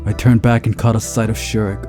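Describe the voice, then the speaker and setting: A man reading a visual novel aloud as a narrated story, giving the characters voices, in a relaxed, unhurried way. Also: fairly low pitch, music in the background.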